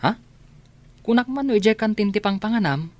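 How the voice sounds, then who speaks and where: A man's voice: sits high, lively intonation, moderate pace, a little mellow, no echo. A man with an Ilocano accent playing a meek, dutiful office employee in a radio comedy drama. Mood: mild, respectful.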